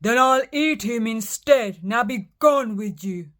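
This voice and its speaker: Woman doing a storybook troll, greedy, roaring, slow and loud, drawn-out threats. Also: a little high, expressive, pitched above the performer's own voice. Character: hungry blustering male troll under a bridge.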